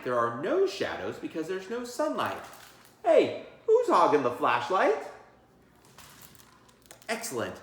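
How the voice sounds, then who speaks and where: A man reading a children's book aloud as a teacher, theatrical and encouraging, breaking off for chatty asides and questions. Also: mid-range voice. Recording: echoey room.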